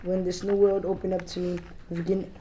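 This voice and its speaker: Soft-toned, level young teenage girl. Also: lowish voice, flat delivery, brisk, a little hiss or hum, poor audio. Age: young teen, middle school student. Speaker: student reader. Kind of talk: dramatic reading aloud.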